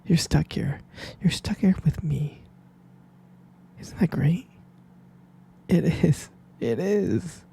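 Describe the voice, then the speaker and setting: A man voicing an obsessive, possessive young captor in an ASMR roleplay, whispering softly and calling the listener pet names. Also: a little high, expressive, takes it slowly, long pauses, slight background noise, full character voice. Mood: obsessive, doting. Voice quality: gravelly whisper.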